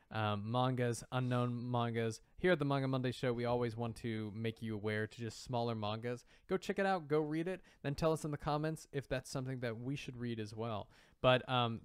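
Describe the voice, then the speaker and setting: Guy cohosting a chatty podcast, clear smooth voice, dry close sound, animated, repeats himself. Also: lowish voice, dry recording. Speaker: podcast co-host. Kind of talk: casual banter about manga news.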